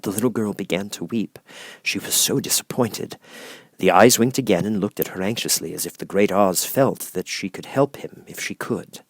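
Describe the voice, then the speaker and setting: A man's voice: fairly low pitch, moderate pace, no room sound. A man reading a scripted children's storybook as an audiobook narrator.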